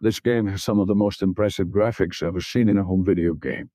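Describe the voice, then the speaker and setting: A man's voice: deep voice, fairly even intonation, a little fast, dark tone, dry recording, studio quality. A man reading old video game previews aloud.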